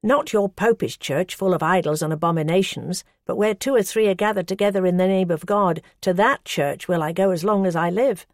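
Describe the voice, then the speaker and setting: A woman's voice: a little fast, hardly any pauses, dry recording, clean studio sound, lightly acted. A woman voicing a defiant, pious woman who scolds her accusers with rising passion. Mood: earnest. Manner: impassioned, scolding, rising pitch.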